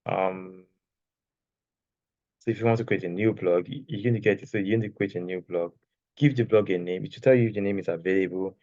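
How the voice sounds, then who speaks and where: A soft-spoken man teaching a casual online class while sharing his screen, talking loosely and checking in with "okay?". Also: sits low, fairly even intonation, fairly quick, dry recording, dull audio. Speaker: course creation instructor. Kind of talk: screen-share tutorial, casual. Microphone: external microphone.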